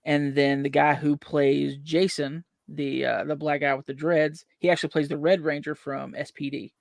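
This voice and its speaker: A man's voice: fairly high pitch, level tone, normal speed, creaky, no room sound, studio quality. A young man from West Virginia with a Southern country accent, rambling through a casual movie chat with lots of fillers. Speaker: podcast co-host.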